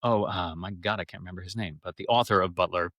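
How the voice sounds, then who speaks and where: A man speaking as an interview guest and actor, telling stories casually in an animated, digressive way and often stammering over repeated words. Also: deep voice, fast, no room sound, clean studio sound.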